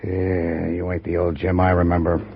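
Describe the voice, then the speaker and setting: An actor playing a sly, hard-drinking, treacherous outlaw with drawling rustic speech in an old-time radio western. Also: male voice, low voice, completely flat delivery, moderate pace. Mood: sly, needling. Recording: muffled old radio recording, background crowd noise.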